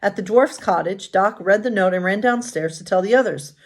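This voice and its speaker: Casting: female pastor-storyteller, medium pitch, expressive and informal, reading aloud with casual asides. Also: fairly quick. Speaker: pastor and bedtime story host.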